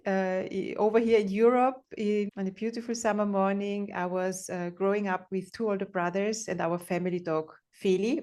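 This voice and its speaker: Austrian lady guest, german native, chatting about herself, medium pitch, kinda hesitant. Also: darkish tone. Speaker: guest, animal communicator. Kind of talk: casual personal storytelling.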